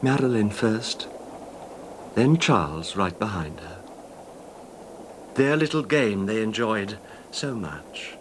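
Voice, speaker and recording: An actor playing a controlled, wronged husband calmly confessing his revenge in a 1970s radio drama, measured and understated, with slight hesitations. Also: lowish voice, slight background noise. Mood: hushed, chilling.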